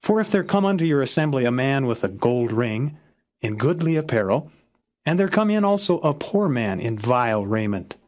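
A man narrates scripture in a middle-pitched voice. His delivery is solemn and measured, with formal phrasing, read straight without dramatization. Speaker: Bible reader, narrator. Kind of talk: non-dramatized scripture audiobook reading.

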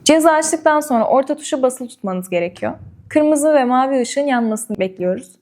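High-voiced woman presenting a product review. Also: lively intonation, moderate pace, a little mellow. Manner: clear step-by-step explaining, addressing viewers directly. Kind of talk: product review and unboxing demonstration.

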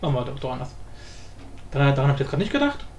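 A man giving a casual, informal software tutorial, explaining step by step. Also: medium pitch, very animated, rapid-fire, creaky, lo-fi sound. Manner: informal, explanatory, often adds tag questions. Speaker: tutorial host. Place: indoor room, voice-over of screen recording. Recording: roomy echo with faint background noise.

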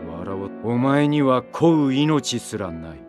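An actor playing an ominous otherworldly being in a visual novel, cold and taunting. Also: male voice, medium pitch, very animated, moderate pace, lightly acted. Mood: cold, mocking. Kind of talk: Japanese visual novel voice acting. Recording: game audio under loud music. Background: music.

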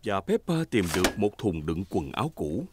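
A man's voice: deep voice, very animated, moderate pace. A man acting as the storyteller of a preschool cartoon, reading scripted narration expressively. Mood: storytelling. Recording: music behind the voice.

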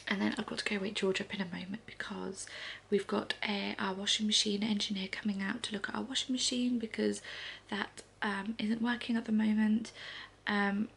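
A woman in her thirties chatting to camera in a soft, often half-whispered way on a living room sofa, a little congested. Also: mid-range voice, flat delivery, normal speed, gravelly, slight background noise. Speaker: vlogger. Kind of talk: casual morning chat to camera.

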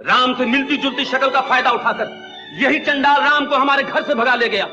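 A stern, declaiming family patriarch. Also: male voice, very high-pitched, normal speed. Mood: accusing. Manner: accusing, declamatory. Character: stern older family patriarch exposing an impostor. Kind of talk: old film drama. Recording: dull old film soundtrack with music.

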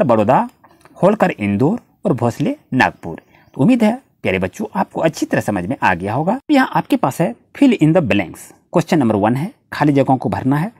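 A tutor with an Indian accent reading out questions and answers in a lesson, addressing students directly with repetitive explanations and halting when reading English. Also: mid-range voice, darkish tone, husky, no room sound, studio quality.

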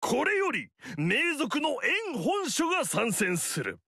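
An actor voicing a pompous, arrogant demon-clan noble warlord in a video game, haughty and commanding. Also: male voice, extremely high pitch, huge pitch swings, slow, sharp tone, no echo, exaggerated voice. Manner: haughty, commanding, self-praising. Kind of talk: video game battle lines. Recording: dry studio recording.

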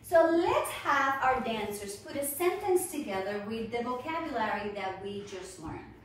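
A woman giving an instructional dance lesson as a ballet teacher, with a measured, deliberate, encouraging delivery, recorded indoors on a distant camera microphone. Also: a little high, lively intonation, a little hiss or hum. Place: indoors, hard-floored home studio room. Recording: echoey room, distant mic, music behind.

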